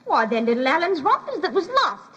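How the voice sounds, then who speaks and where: An actress playing an excitable, plain-spoken housewife with folksy, exclamatory speech in a 1950s radio drama. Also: female voice, sits high, fairly quick, dull audio. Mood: flustered. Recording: noisy, reverberant old radio drama recording.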